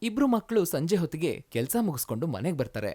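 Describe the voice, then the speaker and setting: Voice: medium pitch, sing-song, fairly quick, mellow. A storyteller reading scripted narration for a moral tale.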